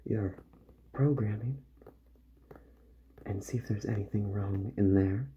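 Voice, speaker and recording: A man doing a soft-spoken ASMR roleplay as a calm, methodical repair doctor, musing gently to himself and often drifting into a whisper. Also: fairly low pitch, takes it slowly. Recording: muffled audio with faint background noise.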